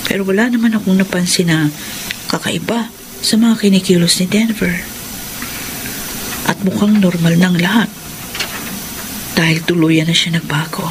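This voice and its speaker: A woman's voice: fairly low pitch, moderate pace, pauses often, background noise, close to an everyday voice. An actress with a Filipino accent playing an anxious, suspicious wife who broods over her husband in a radio drama. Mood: hushed, doubtful. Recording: loud music bed behind voice.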